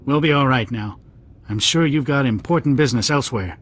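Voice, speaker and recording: An actor playing a colonist settler who is shaken and earnestly thankful. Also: male voice, mid-range voice, brisk, natural voice. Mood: grateful. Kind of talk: video game cutscene.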